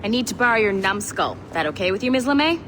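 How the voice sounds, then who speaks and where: An actress playing a brisk, sarcastic young security contractor in a video game, bossy and snarky. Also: female voice, medium pitch, very animated, background noise, lightly acted. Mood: wry, bossy.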